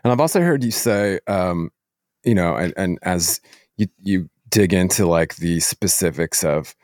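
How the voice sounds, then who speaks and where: Low-voiced man interviewing, dry sound. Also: animated, a little mellow, no room sound. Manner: halting, meandering questions. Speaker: podcast host, interviewer. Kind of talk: casual interview.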